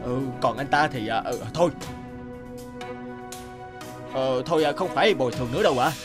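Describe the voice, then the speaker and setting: A man voicing a greedy, flustered villager in an animated fairy tale, hesitant, stammering and deferential. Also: medium pitch, normal speed, natural voice. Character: greedy, flustered, servile male villager caught out. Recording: music bed behind the voice.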